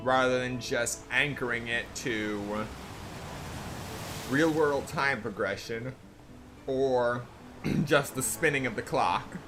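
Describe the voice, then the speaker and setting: A man giving live game stream commentary in a deliberate, halting way. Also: mid-range voice. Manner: deliberate, halting phrasing with frequent throat clearing. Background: faint game music.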